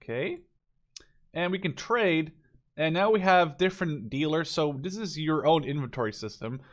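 Casual gamer guy, slightly higher-pitched voice. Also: moderate pace. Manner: informal, thinking aloud as he goes. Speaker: gameplay video host. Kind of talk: casual unscripted game commentary.

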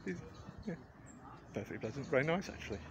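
A man's voice: medium pitch, sing-song, fast, background noise. A man in his forties rambling casually through a walk-around vlog in a wry, understated, chatty way, recorded on a handheld phone. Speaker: vlogger. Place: outdoors in a garden. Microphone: handheld phone at arm's length.

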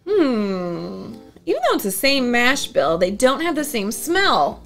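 A woman with an American accent chats as a co-host in a fairly high, very lively voice. She is playful and expressive, with casual, unscripted phrasing. Faint music plays behind her. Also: takes it slowly, gravelly. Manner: playful, expressive, incredulous asides. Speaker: co-host of a whiskey review show. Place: small indoor home-style bar set.

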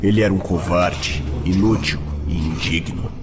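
Very deep Brazilian male fantasy narrator. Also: noisy background. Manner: grave, deliberate storytelling. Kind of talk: dramatic scripted fantasy narration.

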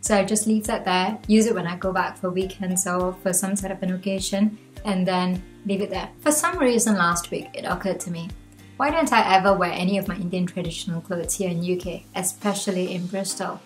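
A young woman from Malaysia, talking to the camera from a room at home. She shares her own reflections in an earnest, chatty way, and her pitch rises now and then. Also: brisk, faint music behind, poor audio. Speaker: vlogger. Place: home room with bookshelves, indoors.

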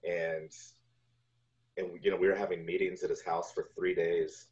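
Male interview guest, 40s, very deep voice, relaxed storytelling style, lo-fi call sound. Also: normal speed. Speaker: guest, video game composer. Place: home room on a video call. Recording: dull lo-fi video call audio.

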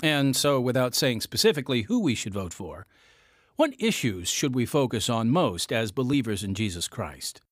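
A man's voice: mid-range voice, sing-song, normal speed, no echo, clean studio sound. A man hosting a scripted radio segment as the announcer. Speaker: announcer and host.

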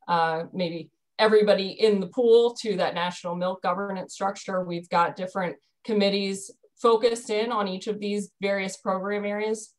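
A woman in her thirties explaining a dairy program on a video call from home, earnest, with frequent ums and restarts. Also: medium pitch. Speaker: dairy program staff presenter. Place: bedroom at home. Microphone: video call webcam.

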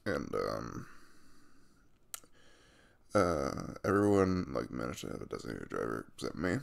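A man's voice: a bass voice, sing-song, measured pace, gravelly, pitched below the performer's own voice, full character voice. A man playing a sleepy, caring young man in an intimate ASMR roleplay, speaking casually and hesitantly in a mumble. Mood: sheepish, fond. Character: sleepy, caring young man waking in his crush's bed.

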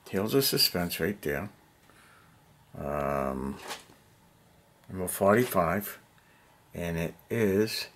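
Middle-aged guy w a low voice, rambling on with lots of "you know", basement hobby room, faint hum behind. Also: fairly bright tone. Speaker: hobby vlogger. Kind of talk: casual rambling chat while painting. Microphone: camera on the table nearby.

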